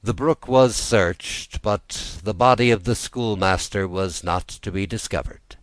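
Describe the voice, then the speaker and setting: A man with a notably deep voice narrates a bedtime tale. His delivery is gentle, measured and soothing, in a literary storytelling manner. The sound is dry, with no room around the voice. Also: no echo. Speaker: audiobook narrator.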